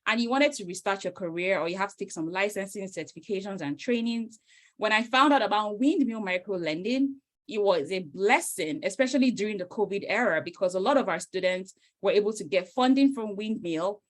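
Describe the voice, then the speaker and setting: A woman's voice: mid-range voice, animated, fairly quick. A middle-aged woman from Nigeria hosting an online information session over a video call, enthusiastic and full of "you know" fillers. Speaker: host, career coach. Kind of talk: webinar introduction.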